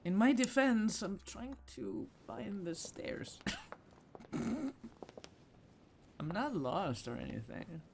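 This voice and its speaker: A man's voice: sits high, big pitch swings, takes it slowly, gravelly, long pauses, darkish tone, a little hiss or hum. A man doing casual game commentary, playful, with muttered asides and exclamations. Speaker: gaming video host.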